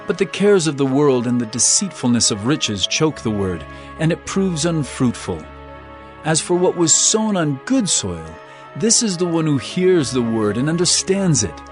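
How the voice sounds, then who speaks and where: Earnest scripture teacher, slightly high male voice, lively intonation, measured declamatory delivery, music underneath. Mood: earnest, solemn. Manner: measured, declamatory, emphatic phrasing. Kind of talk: dramatized scripture reading.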